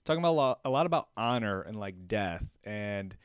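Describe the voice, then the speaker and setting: A man's voice: on the low side, animated, a little fast, smooth, dry recording. A man giving casual, informal reaction commentary as a streamer, thinking aloud as he goes. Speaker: streamer reacting to game videos.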